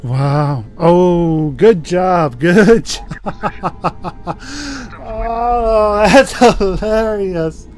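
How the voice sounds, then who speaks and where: A man's voice: high voice, drawn-out words. A man giving casual, sarcastic commentary as he records himself playing a video game. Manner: wry, sarcastic. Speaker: gamer recording a let's play.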